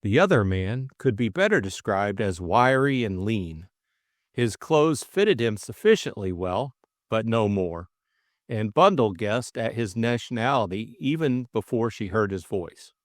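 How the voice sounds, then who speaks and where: A man's voice: on the low side, very animated, a little slow, no room sound, studio quality. A man reading a classic mystery audiobook as scripted dramatic narration.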